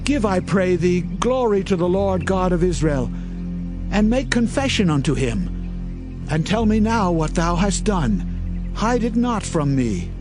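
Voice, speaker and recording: An actor playing a solemn, authoritative Israelite leader in a dramatized Bible reading, measured and earnest. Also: male voice, high voice, animated. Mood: grave. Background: loud background music.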